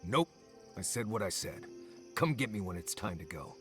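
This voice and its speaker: A man's voice: on the low side, sing-song, normal speed, a bit bright, soft background music. A man acting a stubborn, grumpy guide in a video game, blunt and sarcastic. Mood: exasperated. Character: grumpy, sardonic, stubborn male fantasy guide.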